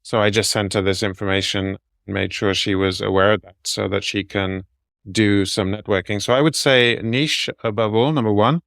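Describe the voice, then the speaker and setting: Low-voiced man explaining steadily. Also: steady tone, vocal fry, a bit bright, no echo, clean studio sound. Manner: measured, explanatory, gives numbered advice. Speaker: guest, medical translator. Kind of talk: interview answers.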